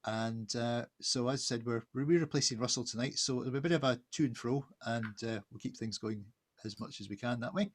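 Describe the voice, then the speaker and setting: Man, medium pitch, informal co-host, hesitant, rambling, off the cuff, video call. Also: fairly quick. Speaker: co-host, art director. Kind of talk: casual unscripted event hosting.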